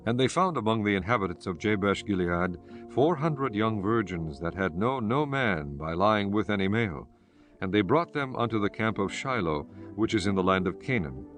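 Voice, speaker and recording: A man narrating scripture for an audiobook in a formal, measured storytelling manner. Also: on the low side, animated, fairly bright tone, soft background music.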